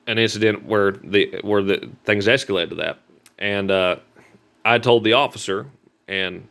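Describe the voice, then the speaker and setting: Male, Southern US accent, deep but hard-edged voice; earnest, folksy, halting vlog delivery. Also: vocal fry, a bit bright. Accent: Southern American. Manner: halting, repetitive, earnest telling with folksy grammar. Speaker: street preacher. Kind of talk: informal personal vlog talk.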